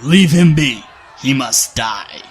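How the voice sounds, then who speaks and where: A man playing a merciless villager in an angry mob, shouting commands over crowd noise in an audio drama. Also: medium pitch, animated, slow. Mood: furious.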